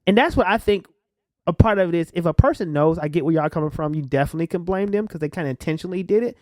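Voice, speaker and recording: A man co-hosting a podcast rattles along at a quick clip, animated and rambling, with plenty of slang. The sound is close and dry, with no room around him. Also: on the high side, no room sound. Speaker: podcast co-host. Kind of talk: casual chat.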